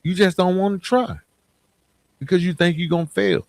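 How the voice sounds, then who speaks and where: A man's voice: high-pitched, fairly even intonation, normal speed, no room sound. A man hosting a motivational podcast, speaking earnestly and emphatically in colloquial language straight to the listener. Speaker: podcast host.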